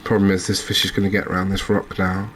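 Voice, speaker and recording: A man giving gentle, unhurried ASMR commentary over a cozy fishing game, with hesitant, understated phrasing. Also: deep voice, monotone, slight background noise. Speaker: host, video game streamer.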